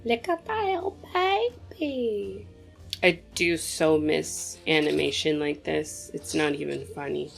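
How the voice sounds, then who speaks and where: A woman's voice: sits low, expressive, slow, creaky, faint music behind. A young woman hosting a casual movie commentary, chatty and opinionated, talking straight to viewers while sniffly from a cold.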